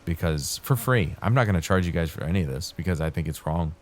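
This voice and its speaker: Male gaming host, very deep, dry-throated and soothing, loose rambling commentary, light music bed. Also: fast talker. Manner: calm, soothing, rambling delivery with laughs. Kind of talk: casual rambling commentary over gameplay.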